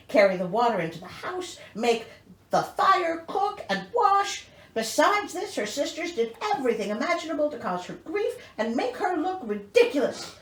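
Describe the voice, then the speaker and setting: Animated, higher-pitched sardonic woman storyteller. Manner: sardonic, theatrical, incredulous. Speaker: host reading a fairy tale with commentary. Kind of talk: storybook reading with sarcastic comic asides. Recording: echoey, roomy sound.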